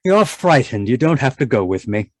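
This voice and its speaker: A man's voice: mid-range voice, very animated, normal speed, dry recording. A man playing an irritable, domineering middle-aged scientist husband in an old-time radio drama, contemptuous and blustering. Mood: scornful. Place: indoors, live video call performance.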